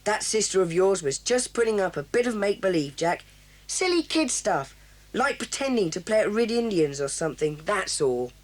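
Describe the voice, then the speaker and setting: A woman's voice: medium pitch, sing-song, moderate pace. A woman playing a bossy, scornful boy leader. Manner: dismissive, sure of himself.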